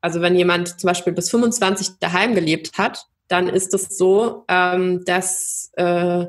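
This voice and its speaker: A young woman from Germany telling her own story in an interview, thoughtful, with many pauses and fillers. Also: on the low side, fairly even intonation. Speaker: podcast guest. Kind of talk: personal interview.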